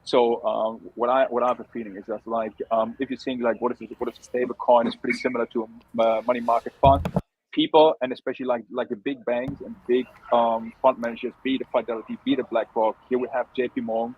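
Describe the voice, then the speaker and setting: A man's voice: mid-range voice, level tone, fast talker, breathy edge, muffled recording. A man co-hosting a casual live chat, talking bluntly and swearing, with many restarts. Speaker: co-host.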